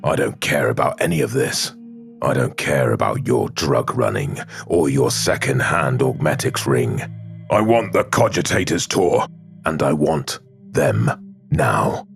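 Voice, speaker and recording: A man playing a stern, threatening police captain in a sci-fi audio drama, blunt and measured. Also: very deep voice, animated, vocal fry, faint music behind, heavily acted. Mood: cold menace. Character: stern, threatening male arbitrator captain, hard-boiled and commanding.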